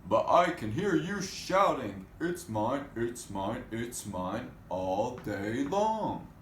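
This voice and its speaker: A man's voice: mid-range voice, sing-song, takes it slowly, fairly bright tone. Man voicing a scolding big storybook toad, echoey room. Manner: admonishing, emphatic. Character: large toad, scolding but kindly male elder. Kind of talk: storybook read-aloud character voice. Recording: echoey room with faint noise.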